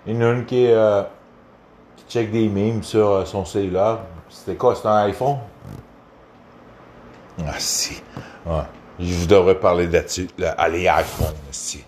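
A man's voice: low-pitched, fairly even intonation, a little slow, long pauses, a little mellow, a little hiss or hum. A man from Quebec with a Québécois accent, giving a casual, rambling rant in a crude, profane, hesitant way. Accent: Québécois French.

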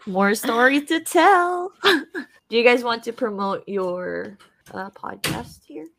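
A woman hosting a podcast, bubbly and giggly, chatting in an unhurried way. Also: on the high side, animated, gravelly, fairly bright tone, a little hiss or hum. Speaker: podcast host. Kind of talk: casual chat.

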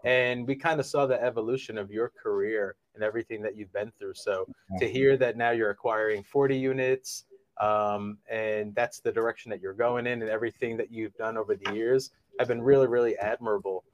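A man's voice: fairly low pitch, fairly even intonation, brisk, no room sound, muffled recording. A man co-hosting a podcast who speaks enthusiastically and compliments the guest. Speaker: podcast co-host. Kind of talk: casual podcast chat.

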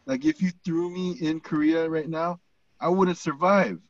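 A man from the United States co-hosting a podcast, talking casually and haltingly with many fillers. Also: fairly high pitch, fairly even intonation, dry recording, muffled recording. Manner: casual, halting, lots of fillers like "you know". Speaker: podcast co-host.

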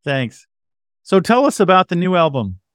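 A man's voice: high-pitched, sing-song, moderate pace, clear, resonant tone, no room sound, clean studio sound. A man hosting a podcast, introducing and interviewing in a casual conversational style. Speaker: podcast host.

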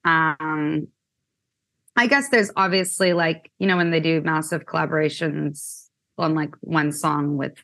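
A young woman from Canada talks about her music in a relaxed interview. Her voice sits a little low, and she fills her talk with "like" and "um" as she rambles along. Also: measured pace. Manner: chatty, many fillers like "like" and "um". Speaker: musician guest. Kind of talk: casual interview chat.

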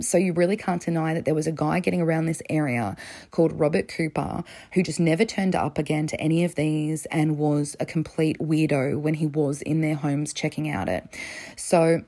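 A woman's voice: low-pitched, warm tone. A young woman casually recounting a true crime case in a chatty, conversational way, with frequent fillers.

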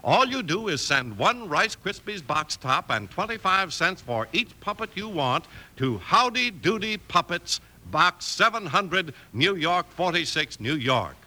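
A man's voice: sits high, very animated, measured pace, hardly any pauses, bright, forceful tone. A male 1950s radio announcer with an emphatic, showy delivery for commercials and dramatic narration.

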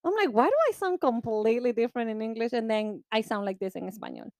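A woman with a Spanish-speaking accent co-hosting a podcast, chatting lively, laughing, and mixing Spanish into her English. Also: fairly high pitch, quick pace, dry recording, studio quality. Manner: lively, laughing, bilingual code-switching. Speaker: podcast co-host. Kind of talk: casual chat.

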